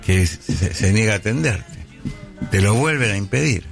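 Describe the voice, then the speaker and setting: A man with a Rioplatense accent hosting a casual studio chat, retelling a story in a lively way. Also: lowish voice, moderate pace, gravelly, faint music behind. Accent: Rioplatense Spanish. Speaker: radio show host.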